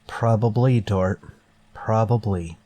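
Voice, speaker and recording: An actor playing a stern, weary starship captain in a sci-fi radio drama, clipped and authoritative. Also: male voice, fairly low pitch, takes it slowly, dark tone, no echo. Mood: weary, pondering.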